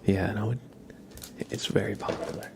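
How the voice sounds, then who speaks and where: A man hosting a live ASMR stream, chatting casually to viewers in a breathy whisper with soft, unhurried asides. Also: lowish voice, steady tone, noisy background. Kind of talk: ASMR, casual livestream chat.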